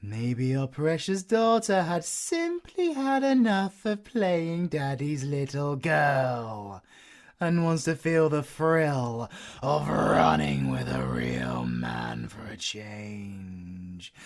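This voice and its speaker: A flamboyant British werewolf villain in an audio drama. He drawls slowly and theatrically, with long pauses and big swings in pitch. He sounds smug, mocking and cruel. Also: sing-song. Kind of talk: audio roleplay acting.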